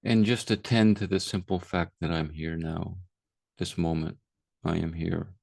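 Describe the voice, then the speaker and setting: Very slow, deep gravelly male meditation guide. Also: no room sound, studio quality. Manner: calm, unhurried with long pauses. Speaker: meditation teacher.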